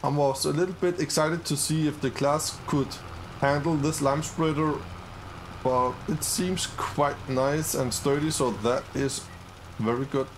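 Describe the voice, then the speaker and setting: Upbeat, slow-talking gamer man. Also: on the high side, a little hiss or hum, rough recording. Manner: upbeat, easily excited, many fillers. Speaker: gaming channel host. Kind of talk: casual let's-play commentary.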